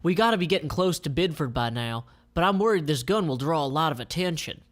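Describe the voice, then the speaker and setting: A man voicing an eager, chatty young princess from a comic in an animated way. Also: a little high, quick pace, voice pushed up, lightly acted.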